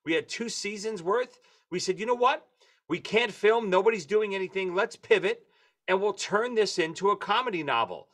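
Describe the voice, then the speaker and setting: A man in his forties with a New York accent, telling lively stories as a guest on a video-call interview. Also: high-pitched, normal speed. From Brooklyn, New York. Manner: lively, animated storyteller. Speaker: guest drummer and author. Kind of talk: casual interview chat. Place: home room with lights and posters.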